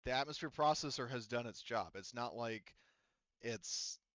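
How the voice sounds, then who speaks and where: A man running a tabletop game, thinking out loud with plenty of fillers and the odd curse. His voice is smooth and clear, recorded close and dry. The voice chat audio sounds a little muffled. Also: mid-range voice, normal speed, no echo. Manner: rambling, filler-heavy, profane. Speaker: game master.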